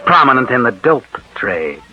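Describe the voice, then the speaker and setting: A man playing a mysterious, all-knowing narrator of crime tales in slow, theatrical 1940s radio suspense style. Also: medium pitch, big pitch swings, natural voice. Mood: grave. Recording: dull old radio recording, loud music behind. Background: dramatic music.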